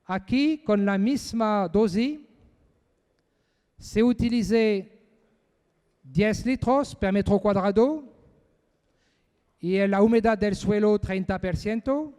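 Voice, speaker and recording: High-voiced man in an echoey hall. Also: darkish tone, smooth. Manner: halting, searching for words in a second language. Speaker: conference speaker. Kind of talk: technical presentation.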